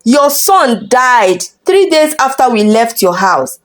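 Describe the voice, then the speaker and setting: A woman's voice: fairly high pitch, big pitch swings, slow pace. A woman storyteller voicing a wronged, resolute young mother, wounded and bitter, with pointed dramatic delivery in a folktale. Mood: bitter, grieving.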